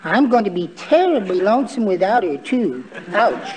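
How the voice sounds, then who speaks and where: An actor playing a meek, henpecked little poet in 1940s radio comedy, timid, polite and given to giggling. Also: male voice, sits high, sing-song, moderate pace, a crowd in the background, poor audio. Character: meek, henpecked little poet husband, sweetly resentful of his wife. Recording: vintage 1940s radio broadcast recording.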